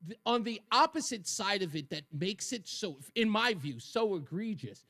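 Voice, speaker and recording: Talk radio host, man, notably high voice, conversational live interview delivery. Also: very animated, moderate pace, fairly bright tone.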